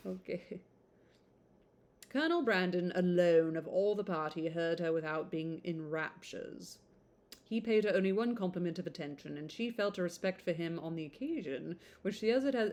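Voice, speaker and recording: A woman reading a classic novel aloud in an informal, expressive way, stumbling over some words and adding chatty asides. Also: lowish voice.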